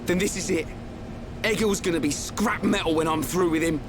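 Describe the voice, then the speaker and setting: A man's voice: on the high side, moderate pace, noisy background, lightly acted. A man playing a brash, loyal, blunt young best mate in a video game, with a working-class English accent and colloquial delivery. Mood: determined. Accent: British, working-class English. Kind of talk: video game cutscene voice acting. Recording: game music behind the voice. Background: music.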